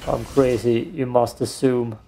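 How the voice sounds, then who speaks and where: A man's voice: medium pitch, flat delivery, unhurried, vocal fry, dark tone, soft background music. A man, a German speaker, joking and self-deprecating as he comments on his gameplay. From Austria. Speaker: let's play host. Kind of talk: casual gaming commentary.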